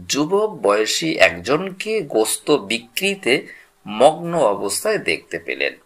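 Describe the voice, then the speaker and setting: A man tells a scripted religious tale in a bright, forceful voice. He takes his time, pacing each phrase deliberately with dramatic pauses. A gravelly creak runs through his expressive storytelling. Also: medium pitch. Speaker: narrator of Islamic stories.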